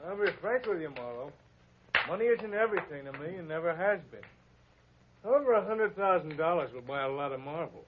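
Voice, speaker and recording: Man acting blunt hearty bearded sculptor, lively pitch, a bit high, lo-fi vintage radio sound. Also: brisk, close to an everyday voice. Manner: blunt, booming laughter. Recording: dull lo-fi old radio recording with faint noise.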